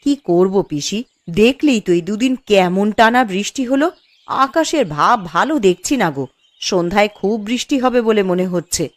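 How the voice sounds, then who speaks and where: A woman's voice: mid-range voice, lively intonation, moderate pace, no room sound, close to an everyday voice. A woman voicing a caring, fretful younger village woman in a cartoon story, with an entreating manner. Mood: concerned.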